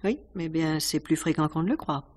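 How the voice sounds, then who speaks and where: Worldly theatre wife, radio whodunit, slightly low female voice, composed, matter-of-fact, dryly candid. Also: moderate pace, darkish tone, slightly rough. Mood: dry, knowing. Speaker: actress in a radio mystery. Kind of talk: French radio mystery drama acting.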